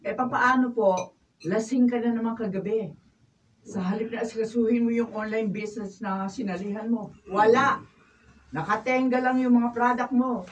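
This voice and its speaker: Actress as weary principled wife, Filipino accent, nagging, radio drama, high-pitched, distant faintly noisy sound. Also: female voice, steady tone, moderate pace, highs cut off, close to an everyday voice. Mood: annoyed.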